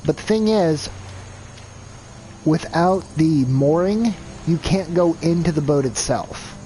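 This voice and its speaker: A man's voice: fairly high pitch, expressive, slow pace, dark tone, a little hiss or hum, low-quality recording. A man streaming a video game live, chatting casually and thinking aloud in a rambling, self-deprecating way. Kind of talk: casual gameplay commentary.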